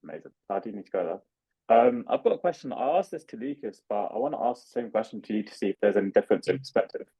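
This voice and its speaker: A man's voice: lowish voice, very animated, fast talker, gravelly, mellow, husky. A man asking questions as a coaching client in a friendly, chatty way, over dull call audio.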